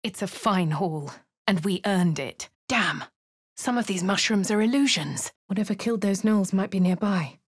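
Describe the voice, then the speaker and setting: An actress with a posh English accent playing a composed adventurer in a game, speaking in a dry, measured, lightly sardonic way. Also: female voice, medium pitch, breathy edge, no echo, lightly acted. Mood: wry. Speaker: player character. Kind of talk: game voice lines. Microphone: studio mic.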